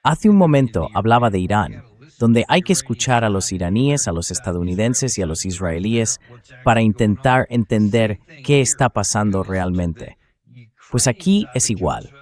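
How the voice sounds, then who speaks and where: A man's voice: on the low side, expressive, normal speed, a little mellow. A synthetic AI man's voice reading a dubbed translation of explanatory military commentary.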